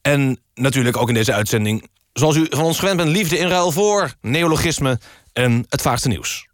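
A man presenting satirical news as a deadpan radio magazine host. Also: medium pitch, normal speed.

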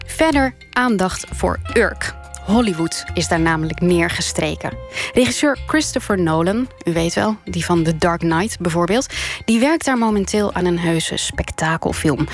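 Lower-pitched Dutch woman hosting late-night radio. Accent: Netherlands Dutch. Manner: informal, addresses listeners directly. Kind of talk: conversational scripted programme introduction. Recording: faint music behind voice.

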